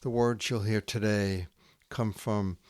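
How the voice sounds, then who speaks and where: Slow, low-voiced man reading poetry. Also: fairly even intonation, a little mellow, no echo, studio quality. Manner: deliberate, with long pauses between short phrases. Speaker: podcast host reading poetry. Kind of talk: measured poetry reading and scripted narration.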